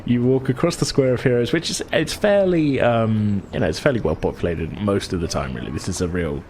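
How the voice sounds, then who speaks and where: A man's voice: lowish voice, animated, brisk, a little mellow, slight background noise. A man running a tabletop game as game master, narrating casually in a rambling, self-correcting way.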